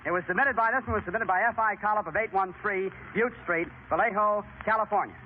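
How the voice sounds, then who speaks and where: Fast-talking 1930s radio quiz host. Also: male voice, sits high. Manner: bantering, repeats contestants' answers. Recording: tinny, hissy 1930s radio recording.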